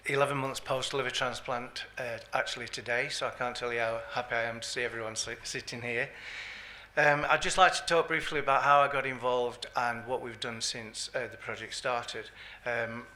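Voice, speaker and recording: A man's voice: mid-range voice, pushed and bright, a little hiss or hum. A man speaking at a conference as a patient governance advisor, hesitant, with frequent ums and uhs. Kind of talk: conference talk. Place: conference hall.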